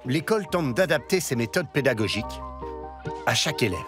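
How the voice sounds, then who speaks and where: A man narrating a documentary in a measured, scripted voice-over. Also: mid-range voice, sing-song. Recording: voice-over with faint music.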